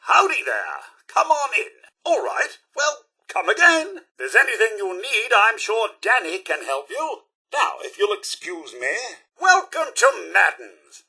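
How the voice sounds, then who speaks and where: A prim British robot butler from a video game, speaking in a very bright, piercing voice. The pitch is high and swoops dramatically through every phrase. He is theatrical and deferential, with a faintly sardonic edge. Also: huge pitch swings, unhurried, slightly rough, heavily acted. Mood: cheery announcing. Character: polite, fussy robot butler, prim and eager to serve. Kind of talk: video game companion voice lines.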